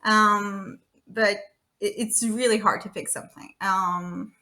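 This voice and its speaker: A woman's voice: medium pitch, steady tone, slow, crowd noise. A woman in her thirties from Romania, a guest talking casually on a podcast, chatty and full of fillers and restarts. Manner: chatty, frequent fillers and restarts, laughing often. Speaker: guest, data consultant.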